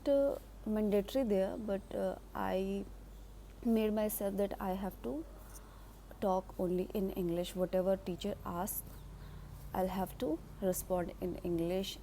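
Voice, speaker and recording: A slow-spoken woman in her thirties with an Indian accent gives an off-the-cuff English lesson, pausing often with fillers and restarting her sentences. Her voice is mellow and relaxed. A faint hiss of background noise sits under the recording. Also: medium pitch. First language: Hindi. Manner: halting, many fillers and restarts. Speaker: English teacher.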